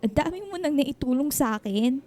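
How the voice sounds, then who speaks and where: Emotional single mom in a pinoy radio drama, high mellow voice, pleading, music under it. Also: female voice, expressive, normal speed. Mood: tearful, overwhelmed. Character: grateful, tearful single mother, hesitant and protesting. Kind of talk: Filipino radio drama acting.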